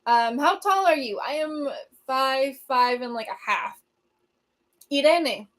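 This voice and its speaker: A young woman from Venezuela, a native Spanish speaker, hosting a livestream and chatting casually as she answers comments, laughing often and filling pauses with um. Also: high voice, a bit bright. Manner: chatty, laughs often, many ums and restarts. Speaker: livestream host.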